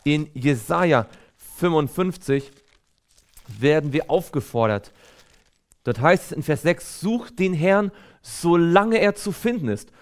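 A man's voice: a little high, animated, slow. A man preaching a sermon in an earnest, exhortative way, reading scripture aloud and stressing key phrases.

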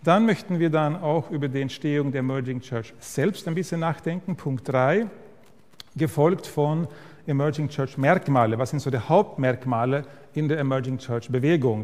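A man's voice: mid-range voice, a little mellow. A man in his fifties from Sweden with an Austrian-Swedish accent, a pastor giving a friendly church lecture that speaks directly to the listeners, with occasional hesitations. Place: church hall. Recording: reverberant hall.

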